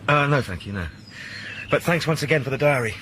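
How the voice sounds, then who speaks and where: An actor playing an earnest, down-to-earth police sergeant who is polite and plain-spoken. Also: male voice, mid-range voice, animated, quick pace, fairly bright tone, slight background noise, natural voice. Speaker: detective sergeant. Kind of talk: radio drama acting.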